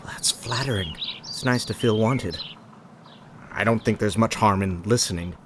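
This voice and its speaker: An actor playing a proud, sarcastic former police chief in a radio drama, with a dry delivery. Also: male voice, fairly low pitch, measured pace, lightly acted. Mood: wary. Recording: outdoor ambience with birdsong.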